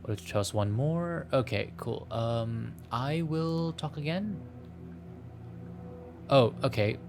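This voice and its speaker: A man doing a casual game playthrough, reading the story text aloud in a halting way, stumbling over words. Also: medium pitch, lively intonation, a little mellow, soft background music. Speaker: streamer playing a visual novel.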